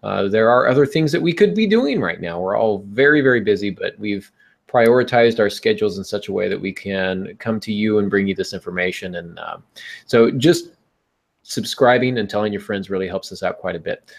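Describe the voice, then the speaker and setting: A man's voice: lowish voice, fairly quick, vocal fry. A man hosting a podcast, talking casually and giving advice to listeners. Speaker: podcast host.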